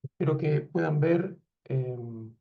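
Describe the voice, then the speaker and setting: A man's voice: on the high side, takes it slowly, creaky, narrow, tinny audio. A man in his forties from Argentina with an Argentine accent, giving a veterinary imaging lecture over a video call, hesitant with many fillers and restarts. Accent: Argentine Spanish. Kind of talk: webinar lecture.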